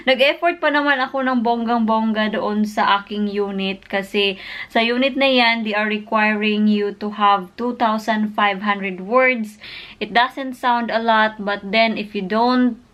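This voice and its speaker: A woman from the Philippines talks straight to her viewers, candid and a little worn down. Her voice sits at an ordinary middle pitch, and she mixes her with English the way she would at home. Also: fairly even intonation, unhurried, a bit bright. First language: Filipino. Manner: candid, openly disappointed and venting. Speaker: vlogger. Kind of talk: casual vlog chat, mixing Filipino and English.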